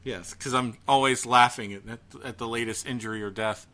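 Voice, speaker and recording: Bright-voiced man chatting casually on a podcast. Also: medium pitch, fairly even intonation, fairly quick. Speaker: podcast co-host.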